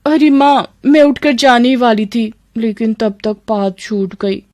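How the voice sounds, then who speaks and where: A woman's voice: on the high side, measured pace, darkish tone, a little hiss or hum, pitched above the performer's own voice, close to an everyday voice. A woman voicing a young bride and daughter, sheepish and whiny, with a pleading, innocent manner.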